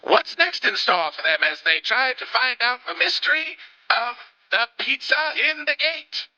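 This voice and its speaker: A man's voice: very high-pitched, sing-song, moderate pace, pushed and bright, dull audio. A man narrating a recap in a theatrical, declamatory mock serial-announcer style.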